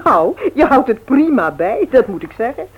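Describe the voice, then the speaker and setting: An actress playing a spirited, stubborn, doting grandmother in a radio play, with brisk teasing retorts. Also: a little high, sing-song, husky. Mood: mildly exasperated. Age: older. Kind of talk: old radio play acting. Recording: dull old radio recording.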